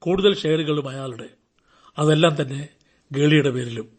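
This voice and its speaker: An actor playing a callous, scheming middle-aged father in a radio drama, commanding and quick to bark. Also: fairly high pitch, lightly acted. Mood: self-justifying. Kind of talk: Malayalam radio drama acting.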